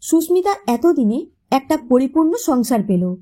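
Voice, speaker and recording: Female audiobook storyteller, high-pitched and mellow, emotive scripted narration with lively intonation. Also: normal speed.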